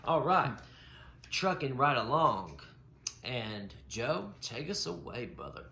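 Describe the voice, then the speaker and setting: Man, stutters, gravelly low voice, casual podcast co-host; echoey room, faint hiss. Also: very animated, takes it slowly, bright, forceful tone. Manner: stutters, repeating and breaking off sounds. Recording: roomy echo with faint noise.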